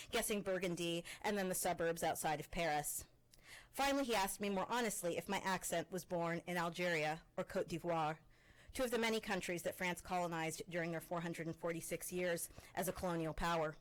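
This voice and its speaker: Low-voiced woman reading an essay. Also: low-quality recording. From Canada. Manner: measured, expressive literary reading with pointed pauses. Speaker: faculty presenter. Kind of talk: reading a personal essay aloud.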